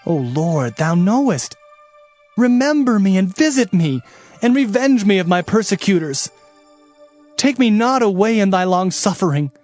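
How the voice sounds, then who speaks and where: An earnest, troubled prophet in a scripture drama. His voice sits fairly high, solemn and plaintive in its declamation. Music plays underneath. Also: sing-song, a little slow, a little mellow. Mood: anguished pleading.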